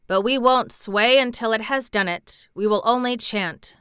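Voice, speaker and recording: A solemn, earnest young boy who speaks gravely and gives orders like a little priest, as a woman reads him. His proper speech is bright and very clear. The sound is dry and close-miked. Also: on the high side, measured pace, no echo, voice pushed up.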